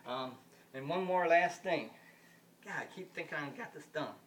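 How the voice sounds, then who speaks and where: A man's voice: on the high side, normal speed, a bit bright. A man in his fifties from the United States, rambling through a solo monologue, often repeating himself and circling back to the same points. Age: 50s. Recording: distant mic with faint background noise.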